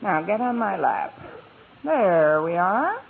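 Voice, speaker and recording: A woman's voice: medium pitch, sing-song, slow pace, creaky, heavily acted. Dry witty single lady, old radio comedy, tinny hissy recording. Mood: wistful, wry. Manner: dry, wisecracking. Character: wry single woman, soft-hearted, spending Christmas Eve alone. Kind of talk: 1940s-50s radio comedy acting.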